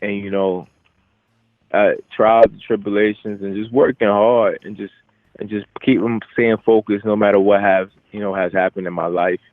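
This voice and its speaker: Low-voiced young man on a phone line. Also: steady tone, no echo. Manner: halting, repeats starts of phrases. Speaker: boxer answering reporters. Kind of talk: casual media interview answers. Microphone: telephone. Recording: narrow, tinny phone line.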